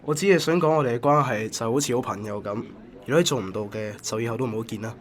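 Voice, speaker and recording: Man playing a fast-talking, exasperated rejecting man. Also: mid-range voice, noisy background, lightly acted. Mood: firm, exasperated. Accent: Hong Kong Cantonese. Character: firm man rejecting an obsessive admirer. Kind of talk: amateur radio drama acting.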